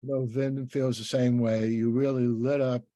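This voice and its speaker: Mellow-voiced man, halting interviewer. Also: mid-range voice, level tone. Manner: halting, rambling, with many repeated word starts. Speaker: interviewer and podcast host. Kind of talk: casual interview chat.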